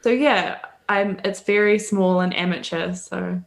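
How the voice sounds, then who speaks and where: A woman with a New Zealand accent speaks as a guest over a video call, her voice sitting at an ordinary pitch. She talks casually and hesitantly, filling gaps with ums and breaking into frequent laughs. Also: steady tone, unhurried, creaky. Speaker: guest, podcaster. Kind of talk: casual interview chat.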